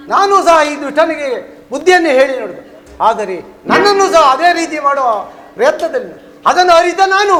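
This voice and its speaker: A man's voice: very high voice, a bit bright. An actor playing a wily warrior courtier who placates his lord in a declamatory mythological stage drama. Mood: deferential. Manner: placating, measured, theatrical. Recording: reverberant hall, faint music behind.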